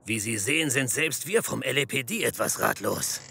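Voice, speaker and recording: An actor playing a pompous, disdainful police captain in a comedy audio drama, speaking stiffly and formally. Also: male voice, mid-range voice, animated, vocal fry, pushed and bright, slight background noise. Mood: stiff, businesslike. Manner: stiffly formal, haughty, hesitant pauses.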